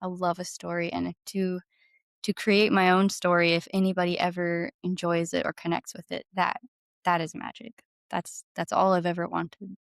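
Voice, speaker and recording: A woman's voice: fairly low pitch, level tone, moderate pace, creaky, no echo, studio quality. A woman chatting casually as an interview guest, informal and playful, peppering her sentences with "like". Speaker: podcast guest, musician. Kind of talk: casual chat.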